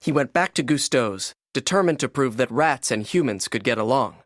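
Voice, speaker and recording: A man narrating a children's read-along storybook in an expressive, scripted storytelling manner. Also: medium pitch, moderate pace, no room sound, clean studio sound.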